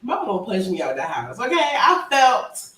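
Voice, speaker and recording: A woman in her thirties telling stories in a casual group chat, animated, slangy and laughing often. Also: on the high side, normal speed. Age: 30s. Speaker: podcast co-host. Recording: echoey room, mic at a distance, faint noise.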